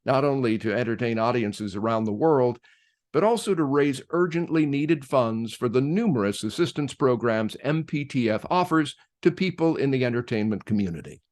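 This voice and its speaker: A man hosting, reading a scripted introduction to a radio play in a measured, emphatic announcer-like way. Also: mid-range voice, lively intonation, darkish tone.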